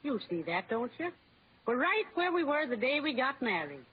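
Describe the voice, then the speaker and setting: Tinny old-radio nagging American housewife. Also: female voice, sits high, big pitch swings, moderate pace, a little hiss or hum. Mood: softer, pleading. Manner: scolding, insistent. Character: nagging, bossy, well-meaning American housewife. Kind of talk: old-time radio comedy acting.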